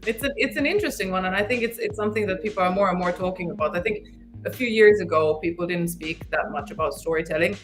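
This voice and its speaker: Lower-pitched woman in casual chat. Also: quick pace, soft background music. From Finland.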